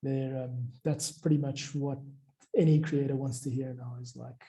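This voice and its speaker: A man's voice: medium pitch, steady tone, normal speed, dark tone. A man in an informal work conversation, talking as a music creator.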